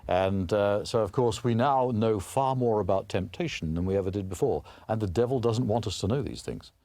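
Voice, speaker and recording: A man's voice: on the low side, normal speed, warm tone, dry recording. A man giving interview commentary on a drama.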